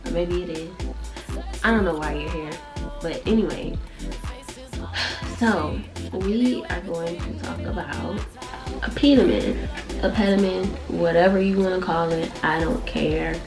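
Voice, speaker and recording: A woman's voice: on the low side, animated, a little slow, pauses often, music in the background. A young woman vlogging in a casual, chatty, rambling way, sounding nasal and congested from allergies. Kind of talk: casual chat to camera.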